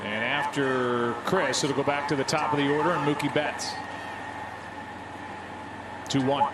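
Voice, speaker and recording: A man's voice: mid-range voice, little pitch movement, unhurried, very noisy. A man with an American accent calling live baseball play-by-play as a sports announcer.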